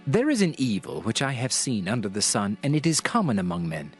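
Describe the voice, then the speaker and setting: A man giving a dramatized reading of King James scripture in a solemn, measured, deliberate way. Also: mid-range voice, huge pitch swings, husky. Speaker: scripture narrator. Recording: music behind the voice. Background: music.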